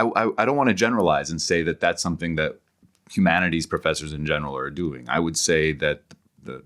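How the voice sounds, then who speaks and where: Deep-voiced middle-aged man, reflective academic. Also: fairly bright tone. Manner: reflective, halting, circles back and rephrases as he explains. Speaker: interview guest, humanities professor and author. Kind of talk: thoughtful long-form podcast interview.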